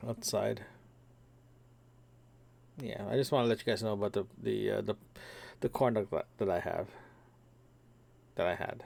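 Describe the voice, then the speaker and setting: A young man from Toronto keeps a casual audio diary, talking alone into a microphone. He rambles, stacks up ums and restarts, and repeats words while he searches for what to say. His voice sits at a middle pitch. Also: creaky, a little mellow. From Toronto, Canada. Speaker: podcast host. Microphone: single microphone.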